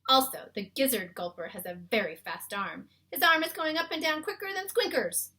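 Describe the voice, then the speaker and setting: An earnest, friendly giant from a children's storybook, acted out by a woman reader. The delivery is loud and emphatic, swinging up and down in pitch with a bright edge. The talk is folksy and muddled. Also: animated, normal speed. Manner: emphatic, mangled homespun grammar. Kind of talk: children's storybook read-aloud.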